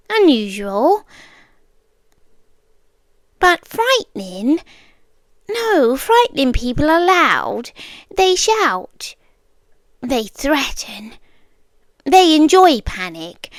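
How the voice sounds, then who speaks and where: Woman playing a soft, slow British yandere girlfriend, high-voiced. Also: no room sound, clean studio sound, full character voice. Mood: calm, unsettling. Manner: slow, deliberate, unnervingly calm, often drifting into whispers. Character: calm, obsessive yandere stalker girlfriend, young woman. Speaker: ASMR roleplay performer. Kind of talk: ASMR roleplay, soft close speech. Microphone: close microphone.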